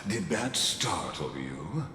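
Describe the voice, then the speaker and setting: An actor playing a suave, sinister mastermind in a video game, speaking with formal, theatrical courtesy. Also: male voice, low-pitched, very animated, slow, heavy background noise, full character voice. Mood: grandiose, amused. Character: suave, sinister male mastermind observing a cosmic experiment. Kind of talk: dramatic video game voice acting. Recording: echoey hall reverb under music.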